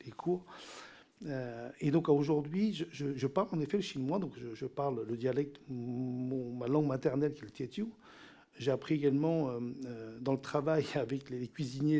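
Halting, gravelly middle-aged man testifying. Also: mid-range voice, dark tone. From Cambodia. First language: Teochew dialect. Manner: hesitant, many fillers and repeated words. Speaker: witness at a parliamentary hearing. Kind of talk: formal testimony. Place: large parliamentary committee room. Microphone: desk microphone.

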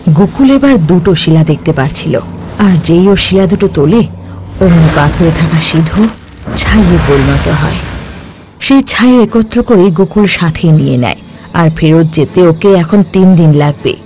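A man's voice: fairly high pitch, animated, measured pace, over music. Man narrating a cartoon folk tale. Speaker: storyteller narrator. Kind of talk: scripted storybook narration.

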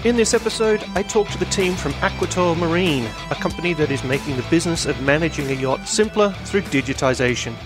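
A man's voice: on the high side, animated, brisk, hardly any pauses, music in the background. A man hosting a podcast, introducing guests and interviewing them conversationally. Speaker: podcast host.

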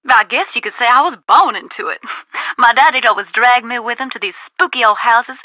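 An actress playing a folksy, wistful ghost-hunting author from a video game, with a twangy Georgia accent. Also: female voice, fairly high pitch, pushed and bright, no room sound, muffled recording. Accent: Southern US, Georgia. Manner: folksy, storytelling. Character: wistful Southern woman ghost hunter and author.

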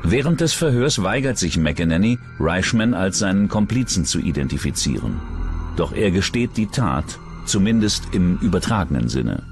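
A man's voice: low voice, big pitch swings, moderate pace, over music. A man reading scripted true-crime documentary narration as the narrator.

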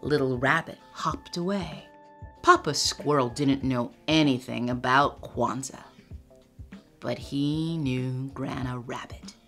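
A middle-aged woman reading a children's storybook aloud in an animated, expressive way, recorded by webcam in a room lined with bookshelves. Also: rumbling low, slow, faint music behind. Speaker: storytime reader.

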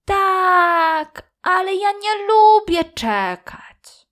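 A woman's voice: very high-pitched, big pitch swings, drawn-out words, a bit bright, pitched above the performer's own voice, full character voice. A woman playing an eager, impatient little girl, a childlike big sister in a children's audio fairy tale.

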